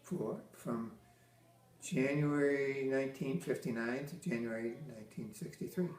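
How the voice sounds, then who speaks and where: An older man from Massachusetts, a military veteran, answering interview questions with halting restarts, many fillers and dry teasing humor. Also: medium pitch, a little mellow. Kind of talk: oral history interview. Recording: echoey room.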